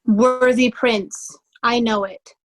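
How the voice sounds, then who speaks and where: A noble, sorrowful young man of Shakespearean tragedy, acted by a woman. The voice is on the high side, very measured and slow. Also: fairly even intonation, low-quality recording. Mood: grieving, earnest. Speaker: amateur actor in a reader's theatre. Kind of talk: Shakespearean stage reading.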